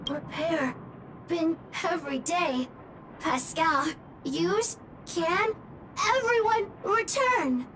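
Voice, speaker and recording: A woman voicing a loyal caretaker robot that speaks in halting broken phrases, one or two words at a time, in a video game cutscene dub. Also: very high voice, expressive, background noise, heavily acted. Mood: earnest. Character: loyal caretaker robot, patient and simple-minded. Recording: game music under the voice.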